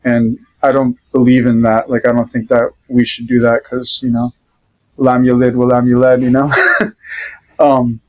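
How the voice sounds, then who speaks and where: A man with a Rhode Island accent tells a personal story on a podcast, at a middling pitch for a man. His delivery is relaxed and meandering, with plenty of pauses as he gathers his thoughts. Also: low-quality recording. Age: adult father. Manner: easygoing, loosely rambling with many pauses. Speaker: podcast guest. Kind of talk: casual conversational storytelling.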